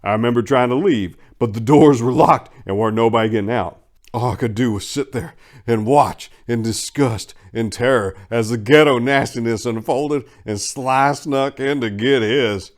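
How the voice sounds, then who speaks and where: A man's voice: mid-range voice, moderate pace. Theatrical man reading a story dramatically. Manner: theatrical, swinging from hushed to loud emphasis; profane, folksy storytelling. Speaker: narrator and channel host.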